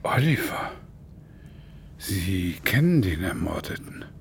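A man's voice: low-pitched, sing-song, slow, creaky, slight background noise, heavily acted. An actor in his sixties playing a kindly, flustered widowed pensioner who gets defensive, in a naturalistic radio drama. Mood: surprised. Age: 60s.

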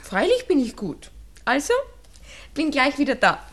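A worldly young Viennese woman, with a high, swooping voice. She is pert, sceptical and offhand, very much the pragmatic friend in a 1960s radio play. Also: big pitch swings, measured pace, a bit bright, slight background noise, full character voice. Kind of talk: 1960s radio drama acting.

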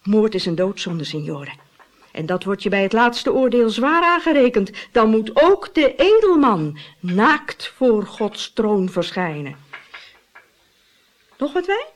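A woman's voice: medium pitch, sing-song, slow. A woman playing a gossipy, pious widow in a radio drama, telling scandal in a dramatic, confiding way. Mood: confiding, ominous. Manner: dramatic, confiding storytelling with tag questions.

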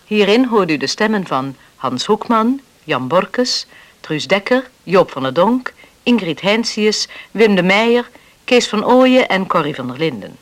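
A woman announces the credits of a radio drama from a script. Her pitch is middling and moves up and down a great deal. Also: sing-song, a little slow.

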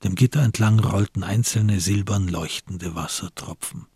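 A man with a very husky, breathy, lowish voice narrates a literary crime story for radio. The delivery is scripted storytelling, and the sound is close and dry. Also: normal speed, a little mellow, dry recording.

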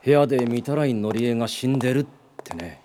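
Man as a bright, lively young detective. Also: mid-range voice, a little fast, slight background noise, close to an everyday voice. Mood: businesslike.

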